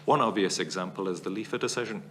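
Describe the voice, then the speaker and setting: A man giving formal testimony as a witness before a parliamentary committee, laying out long arguments in a measured, analytical way. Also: sits low, fairly bright tone, a little hiss or hum.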